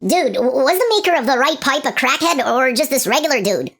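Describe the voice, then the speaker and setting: A woman playing a dazed, childlike, drug-addled spaceship crewman in a comic radio play, with halting stoner talk. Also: sits high, sing-song, gravelly, fairly bright tone, exaggerated voice. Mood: whiny, confused.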